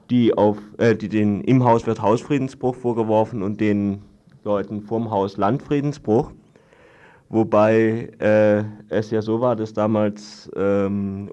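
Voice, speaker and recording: A man's voice: fairly low pitch, warm tone. A man reporting as a studio guest, explaining without a script and hesitantly searching for exact wording.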